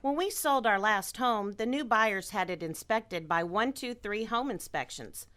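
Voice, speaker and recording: A woman performing a scripted radio ad, with an earnest sales-pitch delivery. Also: lively intonation, a little slow, a bit bright. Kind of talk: scripted ad dialogue.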